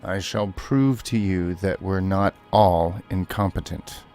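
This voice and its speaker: A man's voice: low-pitched, slow pace, a little mellow, faint music behind. A man hosting a gaming video, narrating casually and speaking voice commands to the game. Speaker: video host and gamer.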